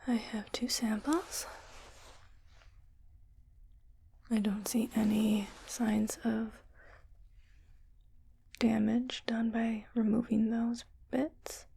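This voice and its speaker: Woman playing a whispering, breathy spaceship doctor. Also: a little high, level tone, exaggerated voice. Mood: calm, reassuring. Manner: slow, gentle, soothing. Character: calm, reassuring female spaceship doctor examining crew. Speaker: ASMR roleplayer. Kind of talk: soft ASMR medical roleplay.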